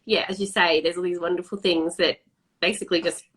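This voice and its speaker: A woman's voice: fairly low pitch, steady tone, a little fast, fairly bright tone. Chatty, upbeat woman hosting a video call. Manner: chatty and enthusiastic, many um fillers. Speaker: host. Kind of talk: live-streamed video interview.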